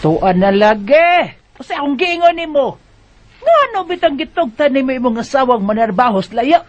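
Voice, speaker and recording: Nagging older mama in a radio drama, really high voice that swoops around, dull recording. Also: female voice, very animated, normal speed. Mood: exasperated. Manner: nagging, reproachful. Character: scolding, exasperated older mother, a grandmother who refuses babysitting.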